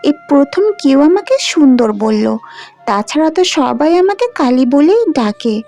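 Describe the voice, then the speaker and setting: Woman as a sweet, high-voiced village girl. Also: very animated, moderate pace, soft background music. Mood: touched, shy. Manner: soft-hearted, innocent. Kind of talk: animated fairy-tale voice acting.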